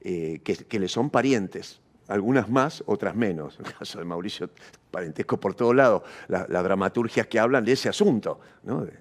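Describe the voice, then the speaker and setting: An Argentine man with a fairly low voice gives a thoughtful interview. He speaks like an intellectual, building abstract ideas, searching for the exact phrase and adding short questioning tags. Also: animated, moderate pace. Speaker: interviewee, theatre practitioner. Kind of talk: reflective intellectual interview talk.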